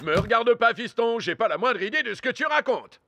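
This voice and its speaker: A man's voice: very high voice, sing-song, brisk, noisy background, full character voice. A man voicing a jolly, doting, middle-aged amoeba dad in a cartoon, cheery and gushing. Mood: puzzled. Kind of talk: French cartoon dub acting. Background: cartoon music.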